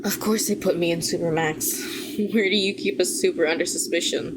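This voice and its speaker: A woman playing a weary, bitter telepathic villain who was once a hero, speaking to the listener in a sardonic, deliberate way in an audio roleplay. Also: lowish voice, fairly even intonation, heavy background noise, lightly acted. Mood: bitter, accusing. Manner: deliberate, sardonic, rhetorical questioning, condescending endearments.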